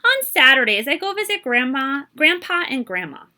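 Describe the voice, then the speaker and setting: Bright, animated woman reading to children. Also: sits high, moderate pace. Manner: expressive, explains and translates Spanish words for listeners. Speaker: librarian reading aloud. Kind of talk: children's picture book read-aloud with explanations.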